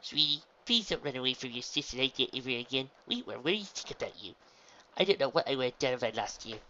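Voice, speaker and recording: A woman voicing a gentle mother mare who speaks in a soothing, almost sung way. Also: low voice, animated, fast talker, highs cut off, slight background noise, voice pushed up. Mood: gentle firm. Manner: soothing, lilting.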